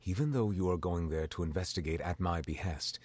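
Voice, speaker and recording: Stately deep-voiced judge, game character, formal. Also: male voice, darkish tone, breathy edge. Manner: formal, measured. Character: composed stately male judge, formal and calm.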